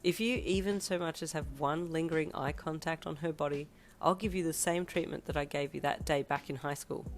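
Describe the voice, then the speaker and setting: A woman with a hoarse, failing voice reading a young male hero who is a doting new father, tender with his baby and teasing with his friend. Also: sits low, brisk, soft background music. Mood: friendly, teasing. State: hoarse, losing her voice.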